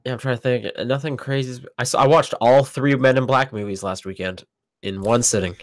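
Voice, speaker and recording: A man's voice: mid-range voice, a little fast, dry recording. Stuffy-nosed man with a dry voice. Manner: animated storyteller, acts out quoted lines. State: stuffy, sniffly from a cold. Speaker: podcast host. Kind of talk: casual chat.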